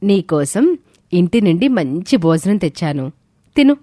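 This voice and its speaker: A woman's voice: lowish voice, big pitch swings, a little slow, warm tone, no echo, studio quality. A woman voicing a caring, encouraging teacher in a dramatised moral story, kindly and reassuring.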